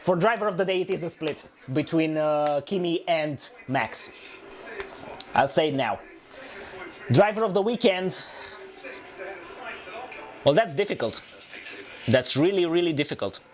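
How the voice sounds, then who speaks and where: Hoarse man, high voice, slow delivery; excitable live race reactor who repeats himself. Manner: excitable, shouting at overtakes, repeating phrases. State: hoarse, losing his voice.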